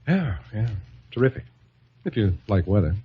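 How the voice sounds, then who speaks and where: Wry, husky-voiced suitor, vintage radio. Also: male voice, on the low side, sing-song, normal speed, heavily acted. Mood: wry, awkward. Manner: wry, sarcastic. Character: wisecracking, jealous male suitor of a lady politician. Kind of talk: old-time radio comedy acting. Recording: muffled vintage radio recording.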